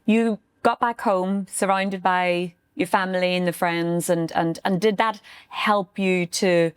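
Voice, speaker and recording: Smooth, low-voiced woman interviewer. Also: fairly even intonation, a little slow, dry recording, studio quality. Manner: supportive, affirming, gentle follow-up questions. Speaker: podcast host, interviewer. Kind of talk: empathetic interview.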